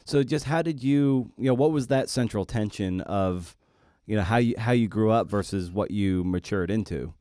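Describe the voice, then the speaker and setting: Guy hosting a podcast, lowish voice, dry sound, casual, kinda halting while he works out the question. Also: dark tone, no echo. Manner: halting, searching phrasing with repeated starts. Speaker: podcast host interviewing. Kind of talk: casual interview conversation.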